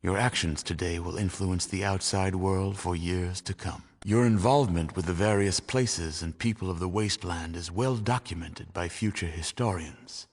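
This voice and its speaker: An actor playing a grave, solemn narrator of a post-apocalyptic video game, speaking in a measured, dramatic style. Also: male voice, deep voice. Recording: long cavernous reverb.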